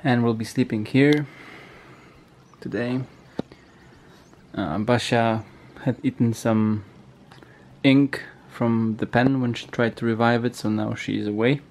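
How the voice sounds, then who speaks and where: A young man with a Polish accent talks slowly in a medium-pitched voice, narrating casually from behind a handheld camera. His delivery is dry and playful. The camera picks up faint noise and ambience around him. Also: steady tone, a little mellow. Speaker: vlogger filming a hiking trip. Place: outdoors on trails and inside wooden huts. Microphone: handheld camera, speaker behind it.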